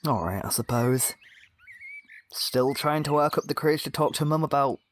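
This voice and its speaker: A man playing a shy, nervous young man in an audio drama, talking haltingly and unsurely. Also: fairly high pitch, lightly acted. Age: young adult. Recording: noticeable background noise with birdsong.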